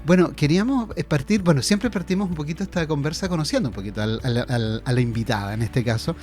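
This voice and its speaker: A man hosting a local radio interview, speaking in a hesitant way with many pauses and restarts. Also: a little high, animated, a little mellow, soft background music. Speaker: radio host. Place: radio studio.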